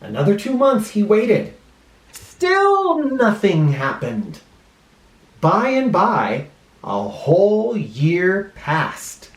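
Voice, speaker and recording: Animated, unhurried male storyteller in echoey room. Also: high-pitched. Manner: explains words simply, with dramatic pauses. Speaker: first grade teacher reading a picture book aloud. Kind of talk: expressive children's storybook read-aloud with simple asides. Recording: roomy, echoing room sound.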